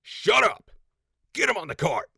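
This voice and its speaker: A man playing a gruff, bossy raider in an audio drama, barking orders and swearing. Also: high voice, big pitch swings, a little slow, sharp tone, dry recording, clean studio sound, exaggerated voice. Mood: harsh, impatient. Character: gruff, bossy male raider crew leader. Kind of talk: post-apocalyptic audio drama acting.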